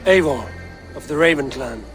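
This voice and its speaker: A man playing a calm Viking clan leader in a video game, speaking in curt, guarded questions. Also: mid-range voice, normal speed, soft background music, natural voice. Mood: firm, calm. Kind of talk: video game character acting.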